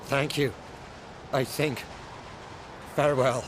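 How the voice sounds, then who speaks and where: A man's voice: medium pitch, steady tone, slow pace, noisy background, lightly acted. An actor playing a bewildered, bereft man in a video game, faltering as he speaks. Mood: subdued. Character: dazed, bereft man freed from possession.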